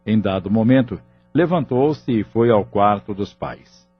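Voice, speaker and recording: A man with a Brazilian accent reading scripted narration for an audio drama, with a husky voice. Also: lowish voice, expressive, measured pace, muffled recording.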